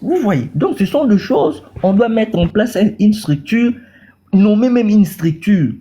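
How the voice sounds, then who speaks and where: Congolese man, mellow voice, fervent debate. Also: sits high, expressive, measured pace. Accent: Congolese French. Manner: repeats words, mixes in Lingala. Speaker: caller in a debate. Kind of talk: impassioned political talk.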